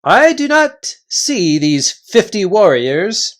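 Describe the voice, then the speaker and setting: A storybook reader voicing a gallant, fierce little talking mouse who speaks in a formal, courtly, deliberate manner. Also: medium pitch, very animated, pitched above the performer's own voice. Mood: indignant, threatening.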